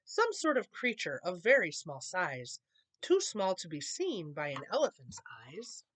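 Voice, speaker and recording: Animated woman reading a children's story. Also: on the low side, a little slow, dry recording. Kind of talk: expressive children's picture book read-aloud.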